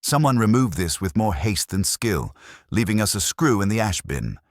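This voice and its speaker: A man voicing a mild, shrewd police inspector in a mystery story, formal and quietly ironic. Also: on the low side, big pitch swings, moderate pace, dry recording, clean studio sound, pitched above the performer's own voice. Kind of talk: storybook mystery character dialogue.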